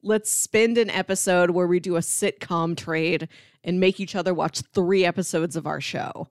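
A woman's voice: on the low side, steady tone, moderate pace, dry recording, studio quality. A woman co-hosting a podcast, talking in a casual conversational style. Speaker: podcast co-host. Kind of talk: casual chat about TV sitcoms.

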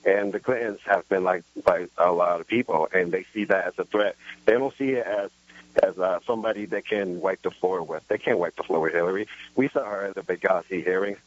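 A man calls in to a radio talk show, speaking in an earnest, halting way and repeating himself. His voice is fairly low. The line sounds dry and muffled. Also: no room sound. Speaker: caller. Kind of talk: call-in phone conversation.

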